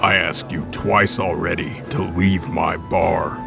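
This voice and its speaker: A man's voice: low voice, little pitch movement, takes it slowly, vocal fry, heavily acted. A man playing a massive, stern Cyclops bartender in a fantasy audio drama, dismissive and threatening. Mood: menacing. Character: gruff, massive one-eyed Cyclops bartender, stern and principled. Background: faint music.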